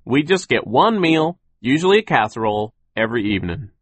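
A man's voice: mid-range voice, big pitch swings, brisk, husky, dry recording, voice pushed up. A man voicing a sunny, optimistic mill worker who explains things in an upbeat way in a storybook. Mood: gently resigned.

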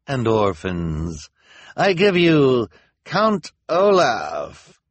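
A man voicing a smug, stern female police officer in an audiobook, speaking slowly and emphatically. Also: medium pitch, wildly swooping pitch, no room sound, pitched above the performer's own voice, full character voice.

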